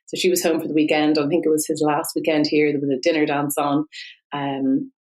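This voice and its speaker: A woman's voice: deep voice, flat delivery, fairly quick, a little mellow, rough recording. A woman in her forties with a Dublin Irish accent, a guest chatting in an interview, laughing often and restarting her sentences. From Ireland. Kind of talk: conversational interview.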